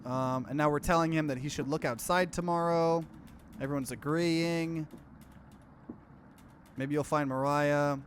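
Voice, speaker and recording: A man's voice: a little high, a little hiss or hum. A man doing a let's play, reading visual novel narration aloud and dropping in casual, offhand commentary. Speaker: streamer, let's player.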